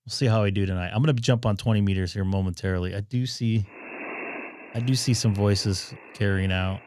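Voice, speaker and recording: A man hosting a ham radio live stream, chatting and thinking aloud as he operates, his voice going hoarse. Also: fairly low pitch, a little fast, a little mellow, no room sound. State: losing his voice.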